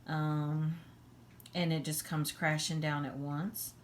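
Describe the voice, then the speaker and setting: A woman with a hoarse voice giving a casual tarot reading, halting with many ums and frequent throat clearing. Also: low voice, level tone, a little hiss or hum. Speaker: tarot reader.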